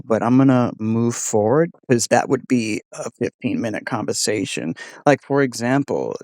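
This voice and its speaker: A man's voice: medium pitch, darkish tone, no room sound. A man hosting an AI demo in a casual, informal way, thinking aloud as he puts questions. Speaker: host testing an AI voice model. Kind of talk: casual spoken interview.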